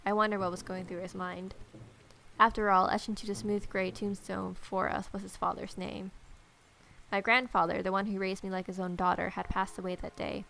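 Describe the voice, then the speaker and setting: A woman's voice: medium pitch, little pitch movement, normal speed, gravelly, fairly bright tone, slight background noise, poor audio. A woman reading a visual novel aloud, with irreverent, sarcastic asides. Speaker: let's player.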